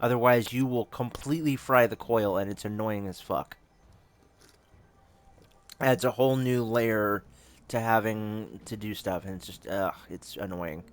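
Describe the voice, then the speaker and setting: A man's voice: lowish voice, normal speed, long pauses, fairly bright tone. A man chatting casually and informally to viewers as host of a gaming channel, rambling a little. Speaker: host and player of a solo tabletop game channel.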